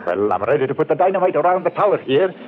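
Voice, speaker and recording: An actor playing a pious, brisk and practical foreman in an old-time radio drama. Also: on the high side, very animated. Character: pious, brisk, practical male foreman, stern believer in scripture. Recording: dull, faintly noisy vintage radio recording.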